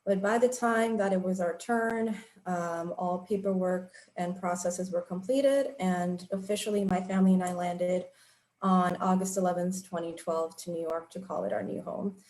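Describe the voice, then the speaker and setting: A woman's voice: fairly low pitch, fairly even intonation, moderate pace, darkish tone. Reflective woman in her thirties, echoey room. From Pakistan. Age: 30s. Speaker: panelist. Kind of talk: online panel talk, personal story.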